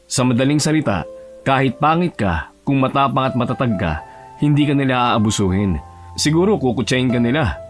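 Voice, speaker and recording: A man performs a blunt, aloof young Filipino bachelor in an audio romance drama. He speaks at a medium pitch, calmly and firmly, with guitar music behind him. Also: brisk, close to an everyday voice. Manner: calm, serious, firm.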